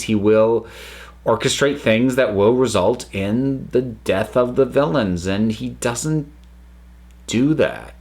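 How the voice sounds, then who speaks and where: A man's voice: lowish voice, fairly bright tone. An American man hosting his own channel, giving a casual, rambling spoken review with frequent restarts and self-corrections. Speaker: channel host reviewing audio dramas.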